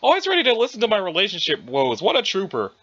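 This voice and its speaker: A man's voice: sits high, huge pitch swings, fast talker, fairly bright tone, muffled recording, exaggerated voice. A man playing a rambling, self-absorbed young adventurer in an audio drama, with bumbling, old-fashioned folksy turns of phrase. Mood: rambling, fretful. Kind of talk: dramatized dialogue reading.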